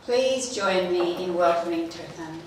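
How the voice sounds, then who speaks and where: A woman's voice: fairly low pitch, unhurried. Woman in a very echoey hall. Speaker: session host introducing a speaker. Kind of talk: formal scripted introduction. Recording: very echoey hall, distant mic, faint noise.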